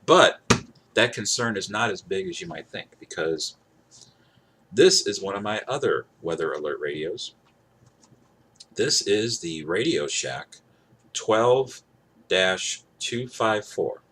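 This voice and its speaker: A man's voice: deep voice, lively intonation, takes it slowly, pauses often, a bit bright. A man giving a casual product demonstration to camera, rambling and repeating himself for emphasis. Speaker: gadget reviewer. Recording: clear background noise.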